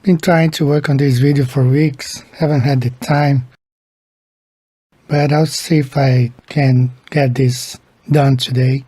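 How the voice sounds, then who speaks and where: Slow, husky-voiced Brazilian-born man. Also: medium pitch, level tone, dark tone, no echo, studio quality. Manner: slow, halting, repeats words. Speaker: video creator. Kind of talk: casual explainer monologue.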